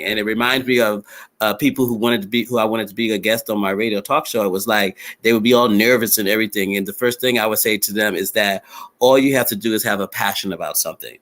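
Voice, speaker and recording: A man's voice: lowish voice, pushed and bright. A middle-aged man from New City, a former radio talk show host chatting as a guest on a live stream. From New York City. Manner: conversational, with frequent affirming murmurs. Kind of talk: casual live-stream conversation.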